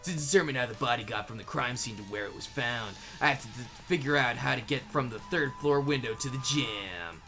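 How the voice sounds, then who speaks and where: A person chatting casually while playing a game and reading out its text, animated, sweary and easily amused. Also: mid-range voice, brisk, few pauses, faint music behind. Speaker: player and fan dub voice reader. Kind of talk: casual gaming chat mixed with reading tutorial text.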